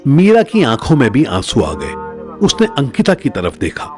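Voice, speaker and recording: A man's voice: mid-range voice, wildly swooping pitch, moderate pace, over music. A man narrating a scripted audio drama as a storyteller, in a dramatic style.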